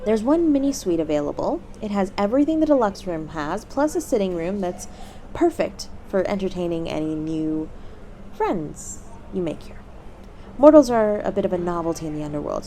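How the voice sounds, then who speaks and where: A cheery demon front-desk host, acted by a woman in an audio roleplay, speaking straight to the guest. The delivery swings up and down in pitch with bubbly, courteous sales polish. Faint ambient noise sits behind the voice. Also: lively intonation, fairly quick, warm tone. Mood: polite, persuasive. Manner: bubbly, courteous customer-service patter. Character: cheery demon hotel receptionist, eager and polite, salesy. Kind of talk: scripted audio roleplay, addressing the listener as a guest.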